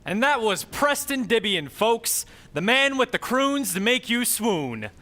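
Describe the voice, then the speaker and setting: A man's voice: very high-pitched, sing-song, unhurried, pushed and bright. A man announcing and narrating in the style of an old-time radio show.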